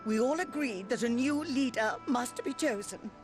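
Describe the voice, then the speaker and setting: An actress playing a genteel, devoted middle-aged wife in a video game, with a refined, formal and emotive manner. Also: female voice, on the high side, lively intonation, normal speed, over music, lightly acted. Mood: wry, refined. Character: genteel wealthy wife, anxious and devoted, theatrical.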